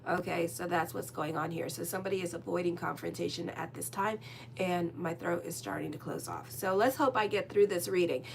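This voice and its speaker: A woman's voice: on the low side, steady tone, brisk, creaky, slight background noise. A woman doing a casual tarot horoscope reading as an astrologer, rambling and chatty with lots of fillers and a direct manner. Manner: frank and direct with listeners.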